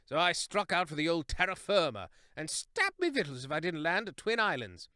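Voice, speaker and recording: A man's voice: on the high side, lively intonation, fairly quick, sharp tone, clean tone, dry recording, studio quality, pitched above the performer's own voice. A man voicing a jolly, plummy hare who blusters and says "what, what?". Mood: hearty. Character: jolly hare, plummy upper-class old soldier type.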